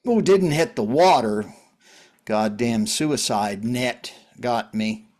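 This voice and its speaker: A man playing a world-weary, wry survivor in a drama reading, talking in a halting, conversational way. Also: mid-range voice, darkish tone, natural voice. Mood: reflective.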